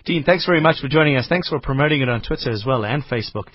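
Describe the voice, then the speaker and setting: A man's voice: mid-range voice, expressive, racing, dry recording, dull audio. A man hosting a radio sports show, asking casual questions in a studio. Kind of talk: radio interview.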